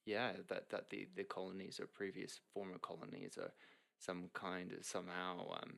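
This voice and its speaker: A man's voice: deep voice, sing-song, moderate pace, vocal fry, fairly bright tone. A young man who grew up in Hong Kong, a guest chatting casually, rambling with many fillers and restarts. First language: English. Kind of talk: casual interview chat.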